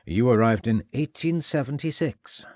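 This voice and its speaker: A man's voice: medium pitch, expressive, normal speed, a little mellow, dry recording, studio quality, natural voice. A man voicing a practical, doubtful male constable who speaks plainly. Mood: matter-of-fact.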